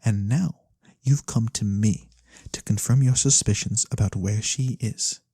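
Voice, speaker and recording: A performer voicing a mysterious, courteous fantasy lorekeeper in a soft-spoken ASMR roleplay, breathy and theatrical with knowing pauses. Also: mid-range voice, no echo. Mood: knowing, hushed. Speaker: storyteller character.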